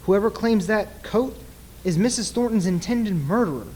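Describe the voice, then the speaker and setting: A man playing an earnest, grave nightclub mentalist in an old-time radio play, speaking formally and deliberately in a husky voice. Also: high-pitched, expressive, warm tone, a little hiss or hum. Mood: grave, insistent.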